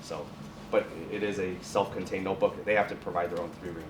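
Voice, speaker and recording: A low-pitched man gives an informal teacher's talk. He halts and rephrases often. The sound is roomy and picked up from a distance, with faint noise behind him. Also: fairly even intonation. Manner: halting, self-correcting, restarts phrases. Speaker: high school biotech and science teacher, guest speaker. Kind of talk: informal presentation with question and answer. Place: classroom or lab at a college. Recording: echoey room, distant mic, faint noise.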